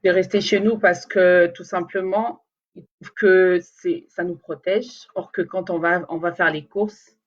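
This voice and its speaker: A woman's voice: lowish voice, steady tone, measured pace, highs cut off. A woman whose first language is Lingala, chatting casually and rambling to her viewers in a live video, with many fillers and restarts. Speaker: vlogger talking to viewers. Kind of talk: casual live chat to camera.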